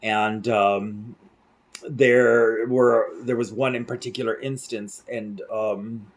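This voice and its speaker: Rambling middle-aged man, lowish voice. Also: fairly even intonation, slow. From central rural Mexico. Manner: rambling, many fillers and restarts. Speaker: interpreter and vlogger. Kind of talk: casual storytelling to camera. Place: small cluttered room indoors at night.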